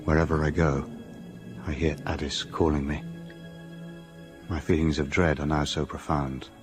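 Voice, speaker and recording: An actor playing a doomed gentleman, solemnly voicing his desperate letter with dread in a radio drama. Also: a bass voice, little pitch movement, moderate pace, over music. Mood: dread, resigned.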